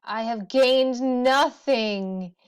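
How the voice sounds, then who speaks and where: A woman's voice: a little high, drawn-out words, smooth, voice pushed up. A woman voicing a weary, grieving male hero king from an ancient legend, declaiming earnestly in a storybook reading. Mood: despairing. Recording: dull, muffled lo-fi audio.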